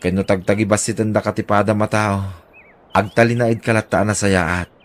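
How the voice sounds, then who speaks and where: A man's voice: on the low side, flat delivery, normal speed, lightly acted. A man with an Ilocano accent playing a loving, pious, humble old father in a radio drama. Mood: humble, devout.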